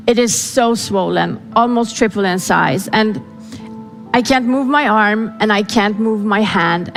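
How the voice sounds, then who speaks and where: A woman whose first language is Farsi, telling a personal story to an audience in an earnest, dramatic way with pauses. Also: medium pitch. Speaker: speaker. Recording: reverberant hall with faint music.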